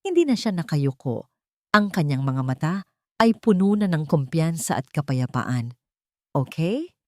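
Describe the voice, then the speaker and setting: A woman's voice: sits low, big pitch swings, normal speed, dry recording. Woman narrator for audio drama, emotive storytelling, dry studio sound.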